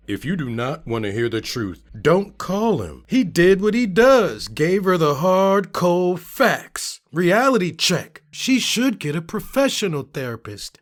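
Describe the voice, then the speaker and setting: A man giving an emphatic, theatrical dramatic reading of short comments, shifting his delivery often. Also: fairly high pitch. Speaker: narrator reading social media comments.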